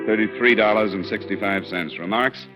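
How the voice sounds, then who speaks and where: A man's voice: lowish voice, moderate pace, natural voice. An actor playing a hard-boiled male insurance investigator who narrates his case, in a dry, matter-of-fact, wry manner. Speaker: narrator and lead character. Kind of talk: 1950s radio drama acting. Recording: dull lo-fi old radio broadcast with faint music.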